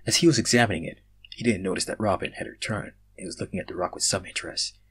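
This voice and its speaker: A man's voice: low voice, fast, fairly bright tone, husky. A man reading a fan fiction story aloud in an amateur way, sometimes stumbling over words and correcting himself.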